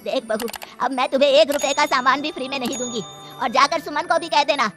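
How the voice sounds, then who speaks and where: A woman's voice: sits high, fast talker, music in the background, lightly acted. A woman voicing a kindly, long-suffering old female shopkeeper in a cartoon story. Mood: firm, reproachful.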